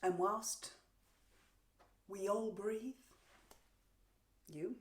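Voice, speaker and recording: A woman performing a Shakespearean stage monologue as a vengeful warrior queen, theatrical and taunting, with drawn-out pauses, gloating over a captive. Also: medium pitch. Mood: scornful, menacing. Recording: roomy, echoing untreated room.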